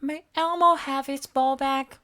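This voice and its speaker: A woman's voice: high-pitched, steady tone, measured pace, bright, forceful tone, pitched above the performer's own voice. A woman voicing a sweet, curious little monster child who talks about himself in the third person. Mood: cheerful. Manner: speaks of self in third person.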